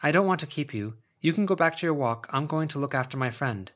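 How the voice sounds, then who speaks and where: Gentle, anxious young woman, voiced by a man. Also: on the high side, lively intonation, quick pace, pitched above the performer's own voice. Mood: apologetic. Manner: hesitant, placating. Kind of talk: audiobook character voice.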